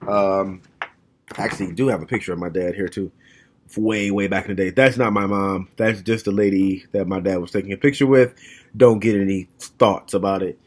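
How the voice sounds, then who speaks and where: A man's voice: deep voice, fairly even intonation, normal speed. A man in his thirties talking at home in a casual, reflective monologue to the camera. He is frank and plainspoken, and thinks aloud as he goes. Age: 30s.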